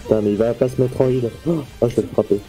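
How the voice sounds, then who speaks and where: A man's voice: medium pitch, steady tone, fast talker, mellow. A man on voice chat during a team shooter game, bantering and swearing casually with his teammate. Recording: game music behind.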